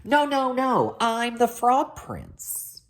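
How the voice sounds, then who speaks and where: Woman as a hapless young storybook prince, pleading, flustered, raised swooping pitch. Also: wildly swooping pitch, very slow, heavily acted. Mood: eager pleading. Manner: polite, flustered, repeats himself.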